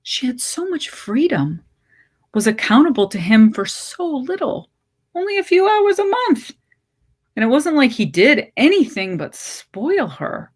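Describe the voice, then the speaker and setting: A woman reading literary fiction aloud as a story narrator, with measured, deliberate phrasing. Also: high voice, big pitch swings, slightly rough. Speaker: story reader.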